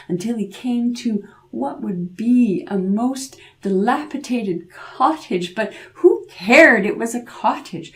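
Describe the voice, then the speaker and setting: A woman's voice: medium pitch, darkish tone, poor audio. A woman storyteller narrating a folk tale dramatically, drawing out words and repeating phrases for effect.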